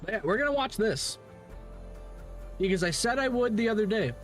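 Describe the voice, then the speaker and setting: A man's voice: sits high, lively intonation, normal speed, soft background music. A man giving casual livestream commentary on games as the host, rambling and opinionated, with casual swearing.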